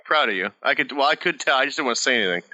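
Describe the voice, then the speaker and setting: A man's voice: mid-range voice, dull audio. A man co-hosting a podcast, bantering casually and laughing often. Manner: laughs often, quick and lively. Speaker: podcast co-host.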